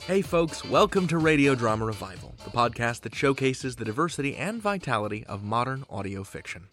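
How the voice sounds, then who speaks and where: A man's voice: medium pitch, sing-song, a little fast, a bit bright, faint music behind. An American man hosting a podcast in a chatty, folksy, emphatic way as he introduces and interviews.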